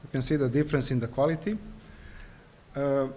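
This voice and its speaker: A man whose first language is Serbian gives an invited conference lecture, halting with many fillers and restarts. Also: mid-range voice, fairly even intonation, dark tone, a little hiss or hum. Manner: hesitant, frequent fillers and restarts. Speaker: conference presenter. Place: conference hall.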